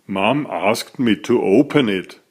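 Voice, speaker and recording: A man reading a children's story aloud as a narrator, in a deliberate, dramatic storytelling manner. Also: on the low side, a little mellow, husky. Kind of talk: expressive scripted storybook narration.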